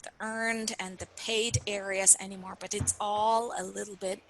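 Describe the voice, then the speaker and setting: A woman's voice: mid-range voice, little pitch movement, moderate pace, a bit bright, slight background noise. A woman from Switzerland, a native German speaker, chatting as a guest in a webinar interview, friendly and full of fillers. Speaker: PR consultant guest.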